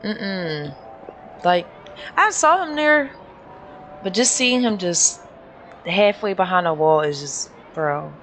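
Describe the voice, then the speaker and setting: A woman's voice: on the low side, expressive, takes it slowly, pauses often, a bit bright, a little hiss or hum, poor audio. A young woman giving casual game commentary in a slangy, teasing, amused way. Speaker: game streamer. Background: game music.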